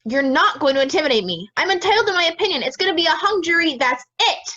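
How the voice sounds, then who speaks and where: A young woman acting a stubborn, hot-tempered juror in an audio drama. The voice is high and very animated, blunt and combative, on a slightly dull recording. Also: fast, heavily acted. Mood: angry, pleading. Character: stubborn, hot-tempered juror dead set on a guilty verdict.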